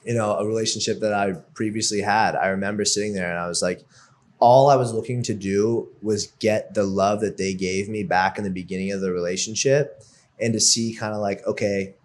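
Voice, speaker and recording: A man's voice: on the low side, steady tone, fairly quick. A young man talking openly as an interview guest, reflective and filling his speech with "like". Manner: open, reflective, peppered with "like". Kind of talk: casual interview chat.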